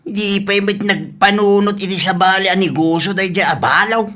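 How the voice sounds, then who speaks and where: A woman's voice: mid-range voice, normal speed, pushed and bright, lightly acted. A woman voicing a grumpy, envious older uncle in a radio drama, complaining in a grumbling way. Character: grumpy, envious older uncle fuming about business rivals.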